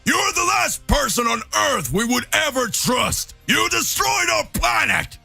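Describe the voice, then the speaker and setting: A man plays a proud, hot-tempered alien warrior in an anime fan story. He shouts indignantly in a high, piercing voice, with music underneath. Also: sing-song, a little slow, vocal fry, heavily acted. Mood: furious.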